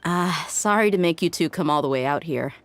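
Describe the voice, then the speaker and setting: A woman's voice: low voice, fairly quick, slight background noise. A woman voicing a confident, easygoing adult female desert mercenary in an anime game, self-assured and casual. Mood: relaxed. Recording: music bed behind voice.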